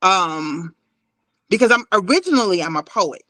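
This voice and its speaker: A woman's voice: lowish voice, animated, normal speed, pushed and bright, no echo. An American woman sharing a personal story conversationally as a guest in an interview. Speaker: poet and playwright, interview guest. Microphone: phone on a video call.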